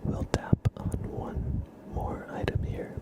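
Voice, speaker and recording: An ASMR livestream host whispering casually to viewers in a soft-spoken, unhurried way.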